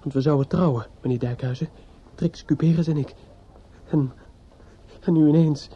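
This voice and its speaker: An actor in a radio play playing a distraught, bitter young man in grief, speaking haltingly with stammers and repetitions. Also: a little high, mellow, breathy edge. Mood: bitter, shaken. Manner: halting, stammering, repeats himself. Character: distraught, bitter young bridegroom-to-be, grieving and obsessive. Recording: dull lo-fi radio play recording.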